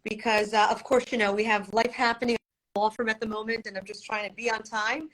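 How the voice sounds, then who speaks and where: A woman's voice: on the high side, little pitch movement, fast, a bit bright, low-quality recording. A middle-aged woman from Poland, whose first language is Polish, speaking as an attorney guest in a live-streamed interview, confident and storytelling, with rhetorical questions.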